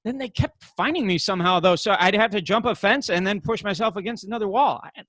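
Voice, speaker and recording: A man playing an impulsive, bumbling activist in a comedy on a video call, rambling and stammering excitably. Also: high voice, fast talker, dry recording. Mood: nervous. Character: bumbling, impulsive, sheepishly defiant young male activist. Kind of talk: comedic stage acting over video call. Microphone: video call microphone.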